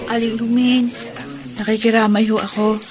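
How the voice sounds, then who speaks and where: A woman's voice: fairly high pitch, little pitch movement, moderate pace, music in the background, close to an everyday voice. An actress playing a polite, concerned young village woman in a radio drama, with earnest questions.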